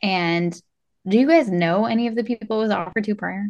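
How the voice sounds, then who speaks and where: A woman's voice: mid-range voice, brisk, a little mellow, dull audio. A woman co-hosting a casual film discussion podcast, speaking in an enthusiastic, chatty way. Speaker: podcast co-host.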